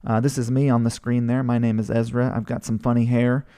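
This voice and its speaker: A man's voice: mid-range voice, little pitch movement, fairly quick, warm tone. A man from Hawaii in his thirties, hosting a live webinar and chatting casually with viewers, full of fillers and restarts. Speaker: webinar host. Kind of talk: casual chat reading viewer comments.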